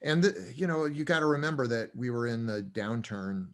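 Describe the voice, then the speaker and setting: A man's voice: mid-range voice, animated, normal speed. Rambling chuckling older man. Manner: rambling, chuckling, many fillers and restarts. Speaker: co-owner and professor. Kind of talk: casual interview talk.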